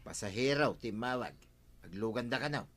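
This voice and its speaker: Bright-voiced Ilocano tricycle driver. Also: male voice, mid-range voice, animated, normal speed, soft background music. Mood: evasive. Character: sly tricycle driver, henpecked husband and carousing buddy. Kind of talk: Filipino radio comedy drama acting.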